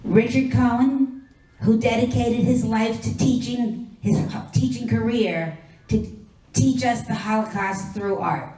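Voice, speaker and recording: A woman presenting a ceremony, calling people up in a measured way with short introductions. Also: fairly high pitch, steady tone, warm tone. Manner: measured, announcing names and short bios. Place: hall at a memorial ceremony. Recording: echoey hall, mic at a distance, faint ambience.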